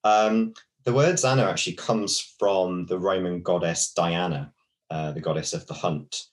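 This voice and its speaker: Guy with a really deep voice on a podcast, rambling thru folk stories, lots of uhs. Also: measured pace. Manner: chatty, many fillers and restarts. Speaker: podcast co-host. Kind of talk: casual podcast chat, folklore explainer.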